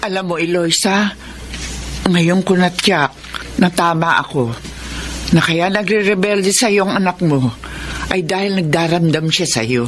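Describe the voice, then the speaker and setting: A woman's voice: lowish voice, close to an everyday voice. Woman acting frank older housemaid, faint noise. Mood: reproachful. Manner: reproachful, halting when rebuked. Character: frank, motherly older female household servant. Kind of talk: Tagalog radio drama acting.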